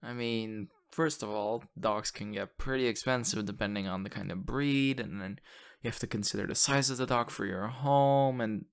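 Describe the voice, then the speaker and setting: A man playing an excitable, affectionate young boyfriend in an intimate ASMR audio roleplay, talking haltingly and teasing playfully. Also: fairly low pitch. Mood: fond, curious. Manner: halting, repeats words, breathy laughs and sighs.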